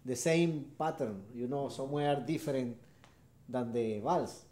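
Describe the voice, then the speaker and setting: Soft-toned Venezuelan man, echoey room. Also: mid-range voice. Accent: Venezuelan Spanish. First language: Spanish. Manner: halting, repeats short affirmations. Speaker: harp player. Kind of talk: interview answers.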